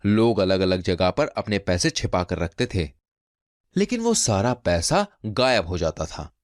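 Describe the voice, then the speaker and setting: A man's voice: low voice, big pitch swings, unhurried, no echo, clean studio sound. A man narrating a scripted children's folk tale as a storyteller.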